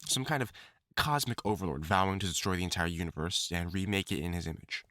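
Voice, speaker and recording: A man's voice: deep voice, fast talker, pushed and bright, dry recording, studio quality. A man acting a lovesick, obsessive supervillain scientist with formal, theatrical diction in a dramatic audio roleplay monologue. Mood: solemn, explanatory. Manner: formal, theatrical diction, tender endearments breaking into anguished outbursts.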